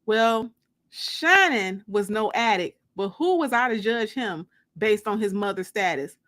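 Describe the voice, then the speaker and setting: A woman giving a dramatic first-person audiobook reading in an expressive, conversational storytelling manner. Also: mid-range voice, measured pace. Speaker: author reading their novel aloud.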